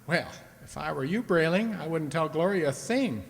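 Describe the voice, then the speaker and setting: Man acting excitable husband, echoey hall. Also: fairly high pitch, normal speed, creaky. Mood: urgent, advising. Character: affectionate husband, excitable, prone to panic and disbelief. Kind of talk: live radio play acting. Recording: echoey hall with long reverb.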